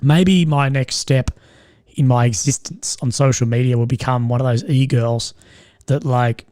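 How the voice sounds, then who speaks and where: A young man with a strained, slightly croaky voice, rambling and swearing as he chats with viewers on a live stream. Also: mid-range voice, fairly even intonation, normal speed, darkish tone, dry recording, studio quality. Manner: rambling, swears often, laughs at own jokes. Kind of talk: casual live stream chat.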